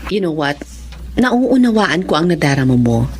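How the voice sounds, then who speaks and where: A woman playing a caring, composed psychiatrist and mother in a Filipino radio drama, measured and reassuring, slipping into English. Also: deep voice, very animated, slight background noise. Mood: calm, reassuring.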